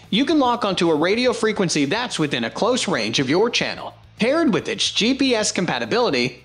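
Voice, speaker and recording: A product review narrator reads from a script with lively, varied intonation. The voice sits at a middling pitch, with music playing softly behind it.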